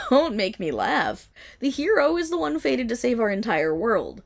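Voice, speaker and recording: A woman's voice: on the high side, big pitch swings, measured pace, creaky, full character voice. A woman playing a haughty, indignant young wolf monstergirl of noble birth in a dramatic ASMR roleplay, speaking disdainfully straight to the listener. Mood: indignant, mocking.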